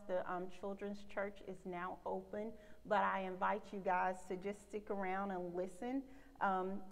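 A woman's voice: medium pitch, vocal fry. Southern woman hosting a church panel. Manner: upbeat, frequent amens. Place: large church sanctuary. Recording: long reverb of a church hall.

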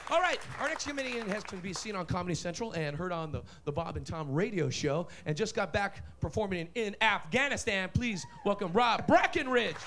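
High-voiced male comedy host, noisy room. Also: lively intonation. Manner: brisk announcer-style introduction. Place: comedy club stage. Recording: live club with crowd and noise.

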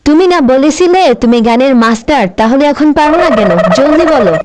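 A woman's voice: sits high, lively intonation, normal speed, heavy background noise. A woman voicing a bossy, boastful grandmother who scolds and teases her doting husband in a comedy cartoon. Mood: teasing. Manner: scolding, dismissive, self-important.

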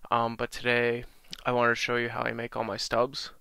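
Young dude with a cold, kinda raspy, flat chill delivery, lots of uhs, dry mic. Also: male voice, medium pitch, moderate pace, dry recording. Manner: relaxed, many ums and uhs, talks through menus. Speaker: gaming channel host. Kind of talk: casual game tutorial commentary.

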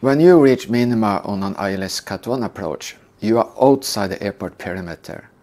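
An older man calmly teaching a scripted lesson on flying approaches. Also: on the low side. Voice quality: calm, measured explanation. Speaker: flight instructor. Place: indoor room.

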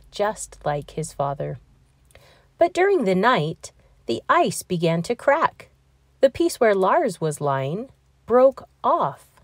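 Female children's read-aloud narrator, expressive and deliberate, animated intonation, dry sound. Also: on the low side, no echo. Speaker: storybook reader.

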